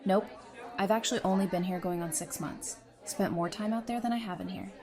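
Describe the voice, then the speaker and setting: A woman's voice: medium pitch, brisk, a crowd in the background, natural voice. A woman playing a friendly, easygoing survivor in an audio drama, chatty, informal and reassuring. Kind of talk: fan audio drama acting, casual dialogue.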